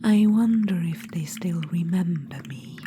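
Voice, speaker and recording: A woman's voice: fairly low pitch, a little slow, background noise, lightly acted. A woman voicing a vain, imperious undead witch queen in a whispered ASMR roleplay, teasing and condescending toward the listener. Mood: wistful. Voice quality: soft whispering.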